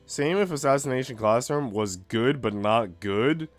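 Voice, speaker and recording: A man's voice: mid-range voice, measured pace, soft background music. A man streaming a game, chatting casually with slang and swearing and at times reading story narration aloud. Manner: informal, slangy, profane. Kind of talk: casual chat mixed with reading visual novel narration aloud.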